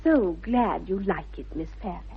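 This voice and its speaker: An actress playing a spirited, prim young upper-class English lady in a 1960s radio comedy, crisply and pointedly polite. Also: medium pitch, expressive, normal speed. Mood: sweetly cutting. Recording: thin, phone-like old radio recording.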